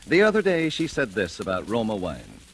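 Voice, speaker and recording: Smooth persuasive radio announcer man. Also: lowish voice, huge pitch swings, a little fast. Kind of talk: 1940s radio ad read. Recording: noisy old radio broadcast.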